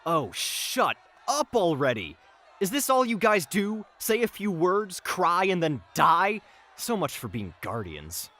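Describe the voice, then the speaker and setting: A sneering male villain, played by a man for a fantasy audio drama. He gloats in a fairly high voice that swoops dramatically, drawing out his sarcastic taunts at a slow pace. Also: sing-song, bright, forceful tone, exaggerated voice. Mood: sneering contempt.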